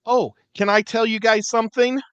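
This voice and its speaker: A man's voice: very high voice, fairly even intonation, normal speed, a bit bright, clear, resonant tone, no room sound. A man in his fifties or older, telling everyday stories in casual chat as a livestream panelist. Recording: dry, dull lo-fi audio.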